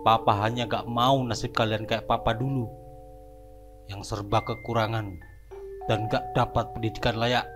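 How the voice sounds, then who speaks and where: A middle-aged father speaks quietly and apologetically at a lowered pitch, as a man puts him on. He is a figure in a horror cartoon. Music plays under him. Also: flat delivery, moderate pace, lightly acted. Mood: remorseful. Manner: subdued, apologetic.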